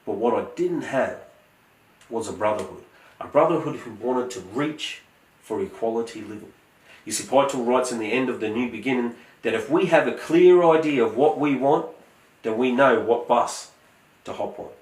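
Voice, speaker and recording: A man, giving a prepared, earnest speech in a measured, emphatic way. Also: medium pitch, reverberant, recorded from a distance, rough recording. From Australia. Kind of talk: prepared motivational speech with scripture quotes.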